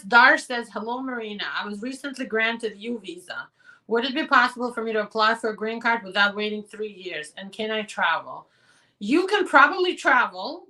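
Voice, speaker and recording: A hoarse woman attorney answers viewer questions on a live show in a direct, practical way. Her voice sits a little high and sounds bright and forceful. She sounds a bit distant from the mic.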